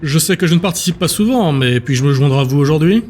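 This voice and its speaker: A man's voice: fairly high pitch, lively intonation, brisk, darkish tone. A man voicing a casual, mocking male councillor with a breezy, ironic, theatrical delivery in an audio drama. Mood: breezy, teasing. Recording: music bed behind the voice.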